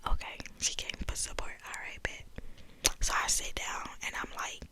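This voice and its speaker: A young woman whispering a story in a profane, animated, gossiping way. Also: normal speed.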